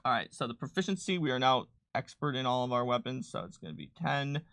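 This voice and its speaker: A man with a bright, forward voice talks casually through tabletop game rules, thinking aloud as he goes. The sound is close and dry, and his delivery is explanatory, like a designer walking viewers through a sheet. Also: mid-range voice, fast talker, dry recording. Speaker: game designer co-hosting a livestream.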